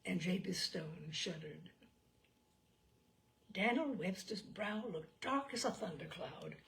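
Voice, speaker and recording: A woman's voice: fairly low pitch, lively intonation, takes it slowly, vocal fry, a bit bright, slight background noise. A woman narrating a literary short story aloud in a dramatic storytelling style.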